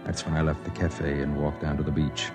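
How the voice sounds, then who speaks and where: An actor playing a brooding, principled man who speaks and narrates quietly and earnestly in a 1950s radio drama. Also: very deep voice, flat delivery, fairly quick. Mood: somber narration. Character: brooding, principled man, gentle narrator of his own story. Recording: vintage radio drama recording with music behind.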